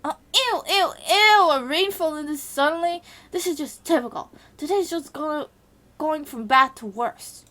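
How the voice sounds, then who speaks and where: A fiery young woman warrior with a hot temper, her voice pitched well above the reader's own and often rising to a shout. She is excitable and shrill, as a woman reader puts her on for a storybook-style reading. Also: normal speed. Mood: annoyed.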